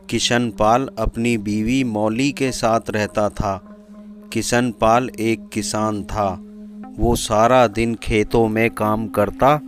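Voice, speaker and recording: Male narrator, scripted horror story, slow, deliberate, soft music underneath. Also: medium pitch. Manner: measured, deliberate.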